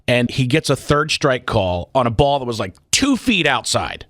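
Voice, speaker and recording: A man's voice: mid-range voice, big pitch swings, normal speed, fairly bright tone, dry recording. A middle-aged man hosting a morning radio show, telling comic stories and bantering on a close studio microphone. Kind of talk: animated comic storytelling and banter.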